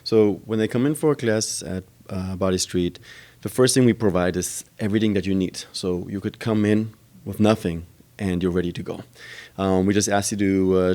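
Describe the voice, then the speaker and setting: A man talks in a very low voice as a podcast guest. He explains how his gym works at length, pausing often with ums and uhs as he goes. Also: a little mellow. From Germany. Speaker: gym owner and trainer. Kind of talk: podcast interview answers.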